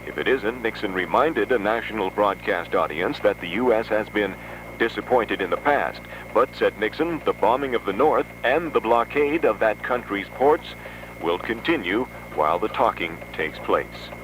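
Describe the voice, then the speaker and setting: A man reading a scripted 1970s radio news bulletin as anchor, in a formal, measured announcer delivery. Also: on the low side, animated. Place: radio studio. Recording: noisy old 1970s radio aircheck.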